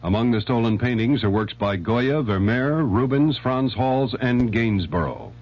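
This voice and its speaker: A man's voice: mid-range voice, lively intonation, unhurried. A man with an American accent anchoring a scripted network radio news bulletin. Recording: dull, noisy, humming vintage radio recording.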